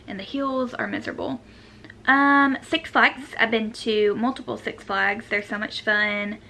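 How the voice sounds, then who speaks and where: Bright-voiced young woman vlogging. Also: fairly high pitch, steady tone, measured pace, creaky. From Arkansas, United States. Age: 20s. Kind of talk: casual chatty question-and-answer vlog. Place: room at home.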